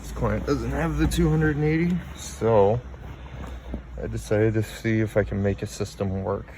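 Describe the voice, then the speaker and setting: A man's voice: lowish voice, fairly even intonation, normal speed, warm tone, slight background noise. A man talking casually and plainly as he gives a hands-on tutorial as a car audio installer.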